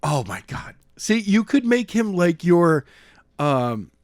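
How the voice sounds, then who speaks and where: A man bantering casually as a podcast co-host, with a playful, expressive and exclamatory way of talking. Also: sits high, slow, dry recording, clean studio sound. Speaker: podcast co-host and chapter reader.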